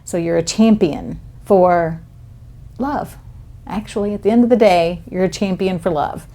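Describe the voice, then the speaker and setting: A woman's voice: fairly low pitch, a little slow. A woman hosting a podcast interview, effusive and affirming, asking long, winding questions. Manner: effusive, warm praise, frequent affirmations. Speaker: podcast host. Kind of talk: casual interview chat.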